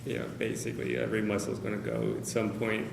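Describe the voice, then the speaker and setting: A man speaking candidly to a meeting of caregivers, hesitant, with many pauses and fillers. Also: on the low side, flat delivery, gravelly. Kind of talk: personal talk to a small group. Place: meeting room with a group of about 45 people. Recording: roomy, noisy meeting-room recording.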